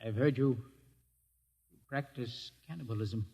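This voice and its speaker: A man's voice: mid-range voice, measured pace, mellow, slightly rough. An actor playing a frightened, indoctrinated young male scientist held captive in a 1950s radio drama, defensive and dogmatic. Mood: defiant. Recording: old 1950s radio broadcast.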